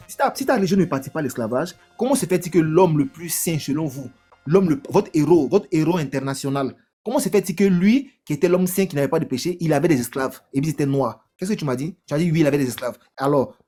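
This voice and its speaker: A man's voice: on the high side, expressive, fast talker, warm tone, husky, soft background music. A man in a heated live debate, insistent and repeating his questions again and again.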